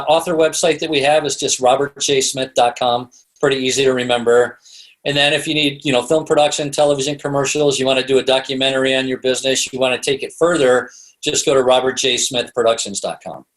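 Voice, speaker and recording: A North American man talks as a guest expert in a casual interview. His pitch sits in an ordinary male range, and he explains in a loose, conversational way. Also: steady tone, fairly quick, fairly bright tone, poor audio. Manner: conversational, explains through hypothetical examples and quoted lines. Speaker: interview guest, author and storytelling consultant.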